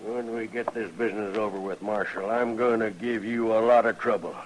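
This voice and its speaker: An American actor playing a surly, defiant rancher, gruff and belligerent, in an old-time radio western. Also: male voice, lowish voice, steady tone, fairly quick, slight background noise, natural voice, poor audio. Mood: defiant, threatening.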